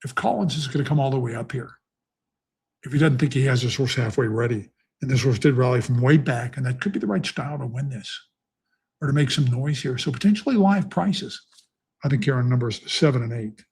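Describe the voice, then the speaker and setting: A man's voice: mid-range voice, fairly quick, darkish tone, slightly rough. A middle-aged man talking casually on a live stream from his basement home studio, rambling and thinking aloud with many fillers as he handicaps horse races. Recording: echoey basement room.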